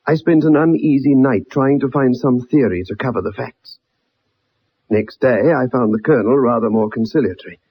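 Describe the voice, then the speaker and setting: Earnest, husky young ex-soldier. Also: male voice, mid-range voice, normal speed. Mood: earnest recounting. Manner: earnest, formal, dramatic storytelling. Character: earnest, dogged young ex-soldier telling a detective his story. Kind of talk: 1960s radio drama acting. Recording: muffled old radio broadcast.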